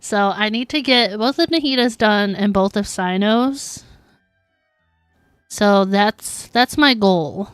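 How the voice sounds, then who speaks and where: A woman's voice: medium pitch, takes it slowly, clean tone, dry recording, faint music behind. A woman livestreaming a game, chatting casually and informally with her viewers. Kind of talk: casual chat while streaming gameplay.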